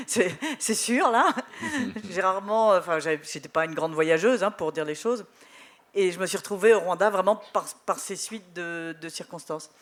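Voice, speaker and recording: A woman from Belgium, a journalist guest on a festival panel, telling animated anecdotes with wry humor. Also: medium pitch. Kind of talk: live panel conversation.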